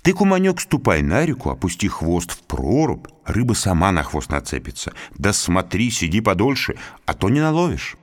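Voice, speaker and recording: A man's voice: low voice, wildly swooping pitch, normal speed, few pauses. A man voicing a sly female fox, a sweet-talking trickster in a children's folk tale. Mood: sweet, coaxing. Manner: coaxing, singsong.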